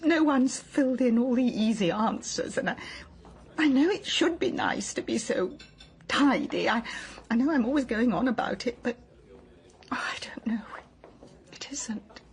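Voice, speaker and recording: An actress playing a lonely older wife who stammers and halts through tearful talk in a radio drama. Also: female voice, a little high, expressive, a little slow, pauses often.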